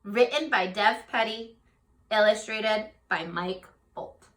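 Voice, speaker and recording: A woman's voice: medium pitch, takes it slowly. A woman teaching young children in a read-aloud lesson, asking simple questions and encouraging them directly. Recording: echoey room.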